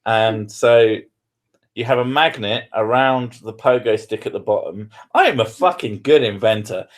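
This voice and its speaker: A man's voice: on the low side, moderate pace. A man chatting casually with his partner, joking and swearing a lot and laughing often. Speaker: streamer.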